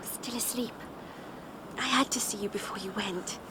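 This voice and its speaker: Anxious, breathy young sweetheart, played by a woman. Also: medium pitch, moderate pace, close to an everyday voice. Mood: urgent. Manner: urgent, pleading. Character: anxious, lovestruck young woman meeting secretly. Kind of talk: radio drama acting. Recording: clearly noisy background ambience.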